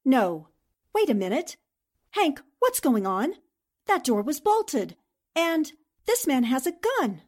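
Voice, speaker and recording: Actress as plucky woman columnist for a vintage radio thriller, high voice, very animated intonation, earnest and theatrical. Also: takes it slowly, long pauses, full character voice. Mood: alarmed. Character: spirited, indignant female newspaper columnist heroine. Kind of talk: 1940s radio drama acting.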